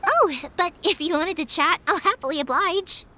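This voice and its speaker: A woman voicing a cheerful, warmhearted young fireworks maker with a big-sister air in a video game, speaking in an expressive, earnest, friendly way. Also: very high voice, normal speed, exaggerated voice. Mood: proud, friendly. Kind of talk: animated video game dialogue.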